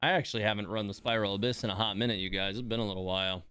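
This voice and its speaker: A man streaming a video game, thinking aloud in a relaxed, informal way as he gives casual live commentary. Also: fairly low pitch, very fast, clear, resonant tone, no echo.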